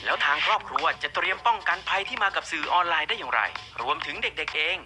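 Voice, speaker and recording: A man's voice: a little high, animated, quick pace. A man hosting a TV program, reading his lines in a formal, polite announcer manner. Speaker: TV program host. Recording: loud music under the voice.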